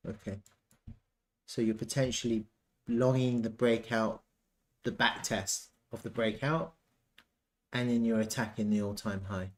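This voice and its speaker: A man giving casual, off-the-cuff chart commentary as a trading analyst, thinking aloud haltingly and repeating himself. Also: fairly low pitch, steady tone.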